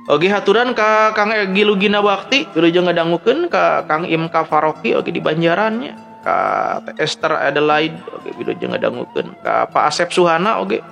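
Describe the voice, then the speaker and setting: A man with a Sundanese accent speaking as a storyteller and host, in an expressive, conversational way. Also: high voice, moderate pace, creaky. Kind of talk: dramatic Sundanese folk-tale narration and listener greetings. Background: background music.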